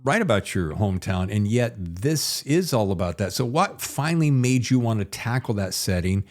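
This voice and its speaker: A man's voice: on the low side, animated, moderate pace. A man interviewing a guest conversationally as a podcast host.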